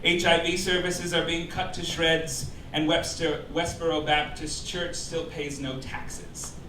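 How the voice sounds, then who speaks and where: A man's voice: a little high, flat delivery, measured pace. A man performing a scripted satirical monologue on a cabaret stage, delivering mock-formal announcements in an arch, campy manner with emphatic punchlines. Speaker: comedian presiding as chairman of a mock club. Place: live cabaret club stage before an audience. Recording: roomy live club recording, distant, loud noise. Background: audience laughter, loud room noise.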